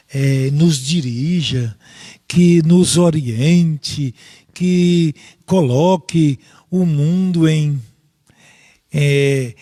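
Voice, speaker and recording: A man with a Brazilian accent teaching a Bible study in a deliberate, halting way, often checking in with listeners. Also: fairly high pitch, mellow, no room sound, studio quality. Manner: deliberate, halting, with frequent rhetorical check-ins.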